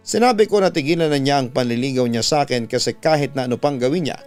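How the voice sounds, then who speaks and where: A man with a Filipino accent reading a dramatic story and advice as a host, in an earnest, measured way. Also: medium pitch. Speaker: radio announcer and story host. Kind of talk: dramatic scripted storytelling and advice, read aloud. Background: instrumental music under the voice.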